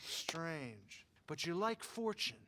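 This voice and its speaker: An actor playing an earnest, nervous Old West biographer in a western game, with a wordy, pleading manner. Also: male voice, on the high side, very animated, slow pace, background noise. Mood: eager. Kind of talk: western video game acting.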